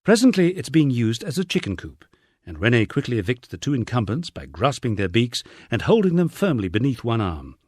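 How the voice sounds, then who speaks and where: A man's voice: medium pitch, big pitch swings, brisk, slightly rough, dry recording, clean studio sound. A man reading a first-person memoir as an audiobook, in a wryly understated storytelling manner. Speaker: audiobook narrator.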